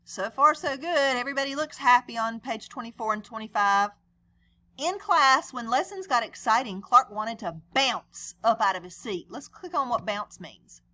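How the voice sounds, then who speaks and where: A woman reads a children's story aloud in a lively, swooping voice. She is on the high, bright side and speaks conversationally, explaining and putting questions to young listeners. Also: moderate pace. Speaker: read-aloud storyteller and teacher. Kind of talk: children's picture-book read-aloud with explanations.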